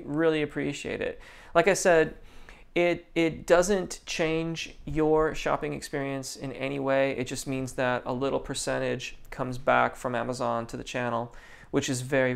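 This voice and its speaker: A man's voice: mid-range voice, creaky. A man, a vocal coach, answering viewers' questions on a live stream, thoughtful and full of restarts and ums. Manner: reads viewer comments aloud.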